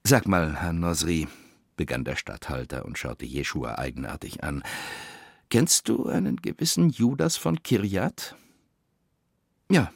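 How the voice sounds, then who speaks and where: An actor playing a weary, bitter novelist, reading in a deliberate, brooding literary radio-play manner with theatrical pauses. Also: male voice, sits low, sing-song, vocal fry, husky, dry recording, studio quality, full character voice. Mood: measured, grave.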